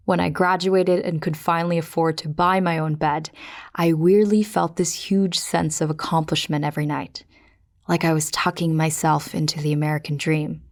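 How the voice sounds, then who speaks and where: A woman from the Philippines narrating a podcast as host, reading scripted personal storytelling. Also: sits low.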